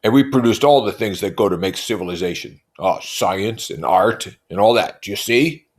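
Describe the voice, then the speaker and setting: A man's voice: lowish voice, moderate pace, natural voice. A man voicing a pompous, irritable, bullying rich husband from a novel in an emphatic, blustering manner. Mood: insistent, pompous.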